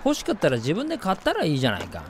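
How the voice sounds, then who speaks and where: Guy acting a gruff preachy dad in a radio drama, blunt and emphatic, big swings in tone, bit of noise. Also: fairly high pitch, huge pitch swings, very fast. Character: gruff, preachy father lecturing his young son. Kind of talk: scripted radio drama narration and dialogue.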